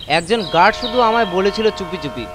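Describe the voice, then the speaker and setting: A man's voice: high-pitched, lively intonation, fairly quick, noisy background. A man narrating a first-person horror story in a dramatised audiobook style. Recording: constant nature ambience effects behind voice.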